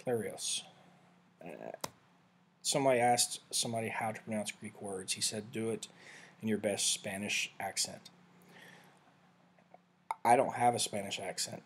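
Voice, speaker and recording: Rambling low-voiced man reviewing software. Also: measured pace, pauses often, a little hiss or hum. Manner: rambling, self-correcting, conversational. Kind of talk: casual screen-share walkthrough.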